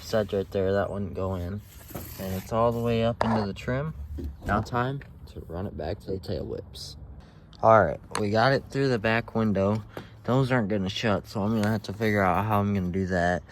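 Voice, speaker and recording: A man's voice: on the low side, normal speed. A man giving a casual hands-on tutorial in an informal, unpolished way, thinking aloud as he works. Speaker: amateur video host. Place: in and around a pickup truck. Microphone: phone camera mic. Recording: noisy phone recording, vehicle noise, clicks.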